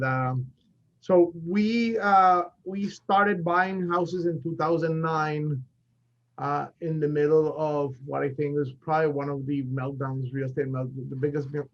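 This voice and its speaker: A native Spanish-speaking man with a Colombian accent gives a business presentation. His voice sits fairly high, and he talks in halting bursts full of fillers and restarts. The recording sounds dull and lo-fi. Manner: frequent fillers and self-corrections. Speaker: real estate investor presenting. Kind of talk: conference presentation.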